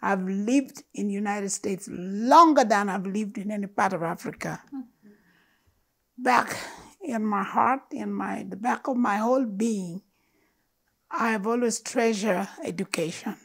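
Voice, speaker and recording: An older woman from Uganda, telling her story to an audience in a living room, slow and earnest with emphatic stresses. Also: mid-range voice, vocal fry, breathy edge. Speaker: storyteller speaker. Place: living room with stone fireplace, indoors. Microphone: lapel mic.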